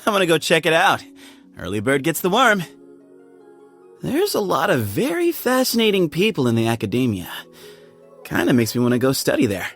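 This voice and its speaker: A man's voice: on the high side, normal speed, pauses often, soft background music, heavily acted. An actor playing a laid-back, playful young man who leads a desert temple, recorded in a studio for game voice lines. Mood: breezy. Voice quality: lively, breezy, chuckling. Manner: easygoing, friendly, teasing. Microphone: studio mic.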